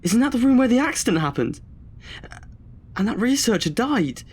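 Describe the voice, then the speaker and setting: A man's voice: very high-pitched, very animated, normal speed, darkish tone, breathy edge, exaggerated voice. A young man, a student in an audio drama. He is chatty but anxious and hesitant, and tends to put himself down. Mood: alarmed. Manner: hesitant, self-deprecating, nervous chuckling. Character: nervous, chatty twenty-year-old male student afraid of the dark.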